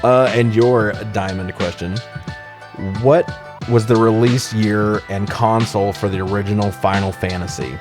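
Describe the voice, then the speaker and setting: Low-voiced man bantering casually over music. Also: steady tone, a little slow. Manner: blunt, profane, informal. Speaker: podcast co-host. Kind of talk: casual film review banter and trivia. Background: music bed.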